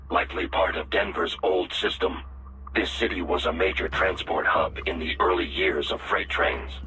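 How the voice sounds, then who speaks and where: An actor voicing a coolly logical artificial companion in a video game, formal, precise and deadpan. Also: male voice, deep voice, far from the mic, a little hiss or hum, rough recording. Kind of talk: video game radio-call dialogue.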